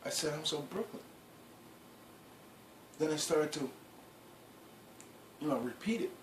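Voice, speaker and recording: Middle-aged man, mid pitch, rambling casual storyteller, echoey room. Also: lively intonation, measured pace. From Guyana. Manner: rambling, lots of "you know" and restarts. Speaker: clothing brand founder. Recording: echoey room with faint background noise.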